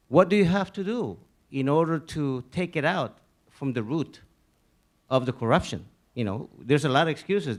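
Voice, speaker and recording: A man's voice: medium pitch, darkish tone. A man from Bolivia in the audience, asking an insistent, pointed question. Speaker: audience member.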